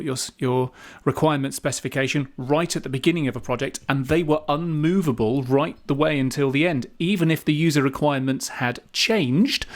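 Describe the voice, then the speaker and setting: A middle-aged man co-hosting a podcast, chatting in a measured, slightly hesitant way. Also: mid-range voice. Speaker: podcast co-host. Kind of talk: casual podcast chat.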